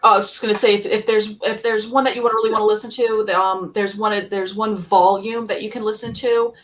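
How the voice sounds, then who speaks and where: Female podcast co-host, mid-range voice, gushing and emphatic fan chat with halting, repeated phrases. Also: medium pitch, steady tone, rough recording. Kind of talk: casual fan panel discussion.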